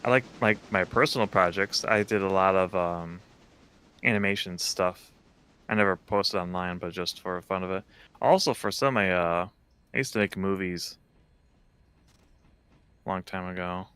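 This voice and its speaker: Deep, bright, clear-voiced man chatting. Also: moderate pace, pauses often. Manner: chatty, laughs through words. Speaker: streamer guest. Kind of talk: casual chat while gaming.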